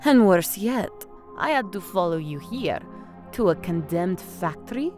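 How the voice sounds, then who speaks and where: Haughty female crime boss, lively pitch. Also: a little slow, faint music behind. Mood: smug menace. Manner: theatrical, condescending, mock-polite. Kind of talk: audio drama acting.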